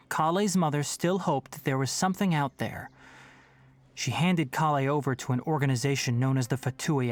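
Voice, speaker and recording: Man playing a calm scholarly young forest ranger, lively intonation. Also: mid-range voice, lightly acted. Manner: articulate, measured, explanatory. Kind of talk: scripted video game dialogue. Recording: music behind the voice.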